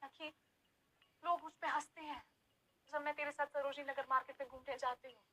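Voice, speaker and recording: A woman's voice: high-pitched, fairly even intonation, a little fast, bright, forceful tone, low-quality recording. An actress playing a scornful, materialistic young woman in a dramatized audio story. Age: young adult. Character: scornful, materialistic young woman dumping her poor boyfriend.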